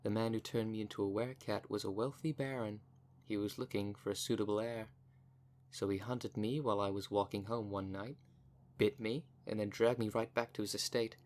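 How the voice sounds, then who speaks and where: A man's voice: fairly low pitch, steady tone, a little fast, lightly acted. A man playing a cocky, cunning werecat with a smug, taunting, theatrical manner in an audio roleplay. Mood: smug storytelling. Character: cocky, cunning male werecat shapeshifter, boastful and menacing. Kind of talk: ASMR roleplay monologue.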